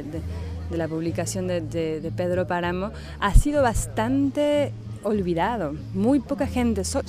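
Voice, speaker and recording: A woman writer with a slightly unusual Mexican Spanish accent, answering interview questions thoughtfully and searching for her words. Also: lowish voice.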